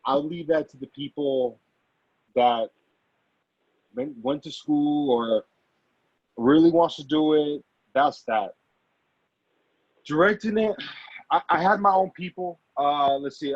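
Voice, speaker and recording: A man speaking as an actor being interviewed, casual and halting, often repeating and rephrasing himself. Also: fairly high pitch, dry recording. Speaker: actor and amateur producer, interview guest. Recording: dull, lo-fi audio.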